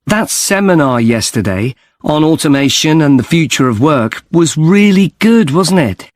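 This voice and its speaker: A man's voice: fairly high pitch, very animated, a little slow, dry recording. A man playing a thoughtful, sceptical university student in a scripted, conversational exam dialogue.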